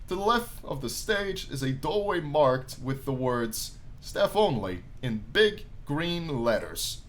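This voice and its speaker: A man playing a game on his channel, reading the story aloud as animated narration and dropping in casual, jokey asides. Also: on the high side, takes it slowly. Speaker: gaming YouTuber reading a visual novel aloud. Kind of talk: let's play commentary mixed with dramatic read-aloud narration.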